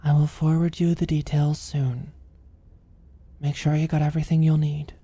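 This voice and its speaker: An actor as husky British workaholic manager, dark soft tone, dryly teasing, caring, intimate ASMR audio drama. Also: medium pitch, flat delivery, normal speed, dry recording. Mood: dry, softly relenting. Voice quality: soft, breathy, often near-whispered. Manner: dry, gently teasing, solicitous.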